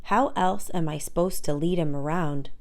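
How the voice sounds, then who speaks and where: A woman voicing a proud, independent dog in a children's storybook, with expressive, deliberate, self-assured telling. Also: deep voice. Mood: fond, confiding.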